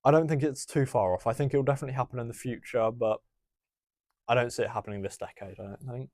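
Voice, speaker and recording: A man co-hosting a political podcast, explaining things in a steady, measured way. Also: medium pitch. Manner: steady, explanatory, recapping results. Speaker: podcast co-host. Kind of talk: casual political chat.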